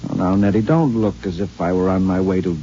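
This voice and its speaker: An actor playing a distracted husband who answers curtly and mumbles excuses to get away, in 1950s radio drama style. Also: male voice, deep voice, steady tone, fairly quick, warm tone, close to an everyday voice. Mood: evasive. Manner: curt and offhand, mumbling excuses. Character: evasive, distracted husband eager to leave. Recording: dull old radio broadcast with hiss.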